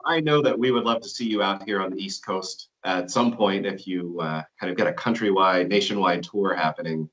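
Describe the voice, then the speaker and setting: A man hosting a metal interview, asking questions in a halting way with fillers. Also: low voice, a bit bright, low-quality recording.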